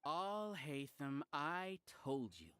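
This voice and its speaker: A cold, arrogant mastermind villain for a video game. His intonation rises and falls widely, with pompous, deliberate phrasing. Every line carries theatrical menace. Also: on the high side, animated, fairly bright tone, faint music behind. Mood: furious. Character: arrogant, cold male villain ruling a hive mind. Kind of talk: English video game voice acting.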